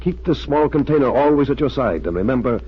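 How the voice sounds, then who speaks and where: An actor playing a brisk male secret agent, clipped and authoritative, in old-time radio style. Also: medium pitch, lively intonation, a little hiss or hum, close to an everyday voice. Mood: commanding. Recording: dull old radio recording.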